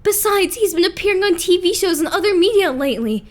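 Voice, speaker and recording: A woman voicing an excitable, easily flustered teenage idol boy in an anime fandub, gushing and stammering. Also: very high-pitched, fast talker. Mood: starstruck, excited. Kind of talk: anime-style fandub voice acting.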